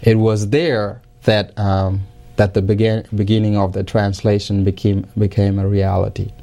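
A low, mellow-voiced man speaking English as a second language, answering interview questions in a measured, halting way. His pitch stays flat and even, and he often pauses to search for words. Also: vocal fry. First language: not English. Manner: many fillers and restarts, careful, measured. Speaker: interview guest. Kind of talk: hesitant conversational interview answers.

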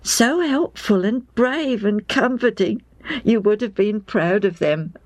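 A woman's voice: mid-range voice, takes it slowly, dry recording, pitched above the performer's own voice. A woman doing an emotional, breathless wife. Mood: joyful. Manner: tearful, breathless, excitable. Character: emotional devoted wife and mother.

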